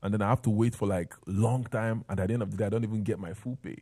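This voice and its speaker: A man's voice: on the low side, fairly even intonation, fast, mellow, no room sound, clean studio sound. A man with a Nigerian accent talking conversationally as an interview guest, sharing personal stories.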